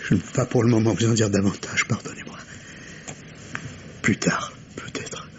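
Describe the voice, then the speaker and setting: An actor playing a courteous, grieving middle-aged father, measured and formal, in the manner of 1950s French radio drama. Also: on the low side, steady tone, in a whisper, lightly acted. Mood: restrained grief. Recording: dull lo-fi old radio, noisy.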